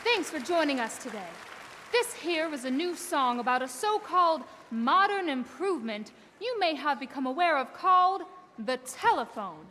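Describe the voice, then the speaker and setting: An actress playing a female stage singer who gives soft, wry patter before singing an old-time ragtime song. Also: sits high, big pitch swings, normal speed, a faint crowd, a little hiss or hum, full character voice. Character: female roadside singer turned stage performer. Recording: with loud piano backing.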